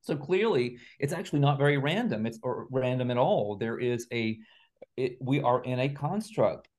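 A man's voice: mid-range voice, expressive, moderate pace, darkish tone. Man, interview guest, conversational exposition, articulate, emphatic, assertive delivery. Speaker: interview guest, writer and researcher. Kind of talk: conversational exposition of spiritual and speculative ideas.

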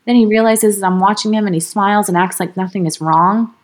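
A woman's voice: mid-range voice, a little fast. A woman podcast co-host reading a first-person horror story aloud. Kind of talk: horror story read aloud, then casual chat.

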